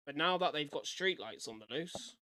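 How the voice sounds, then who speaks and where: A man's voice: a little high, lively intonation, normal speed, pushed and bright, dry recording, rough recording. A man giving a casual live-stream reaction, reading things aloud and commenting as he goes. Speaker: streamer reacting to horror videos.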